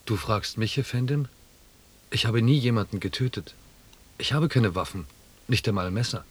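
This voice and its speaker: Husky, meek male clerk. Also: on the low side, moderate pace. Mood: anxious, evasive. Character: meek, evasive male Indian clerk and interpreter. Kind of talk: German radio drama acting.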